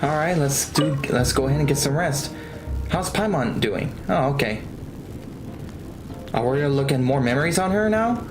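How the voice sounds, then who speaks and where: A young man giving casual, slangy, excitable live commentary as he streams a video game. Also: a little high, normal speed, pauses often, rough recording. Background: game music.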